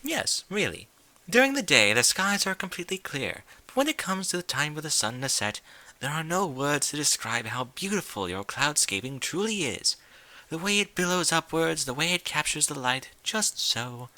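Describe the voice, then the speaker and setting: A man's voice: fairly high pitch, big pitch swings, normal speed, sharp tone, dry recording, voice pushed up, heavily acted. A man voicing an elegant, refined female unicorn pony in a story, with a sultry, effusive, theatrical manner. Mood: gushing.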